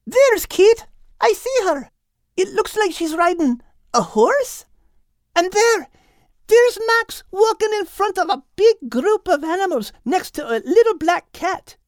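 Here is a sweet little female seagull from a storybook, played by a man. The voice is extremely high and leaps about in pitch, excitable and affectionate. It is captured close and dry. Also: unhurried, no echo, full character voice. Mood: excited.

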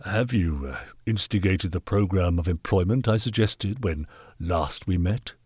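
A man's voice: low-pitched, animated, unhurried, breathy edge, no echo, clean studio sound, heavily acted. A man voicing a haughty, bullying Victorian knight in a storybook reading, pompous and scornful. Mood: pompous, calculating. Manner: pompous, forceful, contemptuous. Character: haughty, callous, bullying Victorian gentleman knight, angry benefactor. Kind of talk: dramatised audiobook character reading.

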